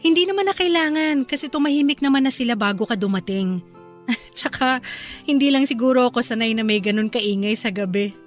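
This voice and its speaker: An actress playing a gentle, caring young newlywed wife in a radio drama, speaking softly and affectionately. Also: female voice, fairly high pitch, expressive, brisk, soft background music, natural voice. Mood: mildly bothered. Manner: soft, affectionate and considerate.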